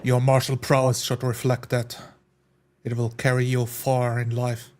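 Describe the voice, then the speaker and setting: A man playing a stoic, earnest martial artist with formal, deliberate speech in a tabletop game. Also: medium pitch, monotone, darkish tone, a little hiss or hum, close to an everyday voice. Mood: earnest, measured.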